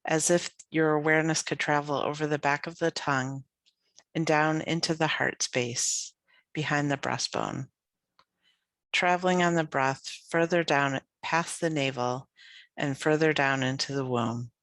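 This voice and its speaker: A woman leading a guided yoga nidra meditation as a teacher, speaking gently and soothingly with unhurried phrases and long pauses. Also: low-pitched, fairly even intonation, no room sound.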